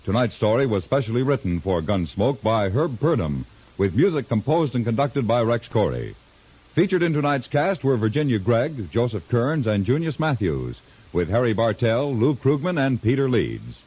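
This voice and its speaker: A man's voice: mid-range voice, very animated, moderate pace, a little mellow, slightly rough. A man with an American accent reading scripted credits as a 1950s radio announcer, formal and emphatic. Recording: muffled 1950s radio broadcast.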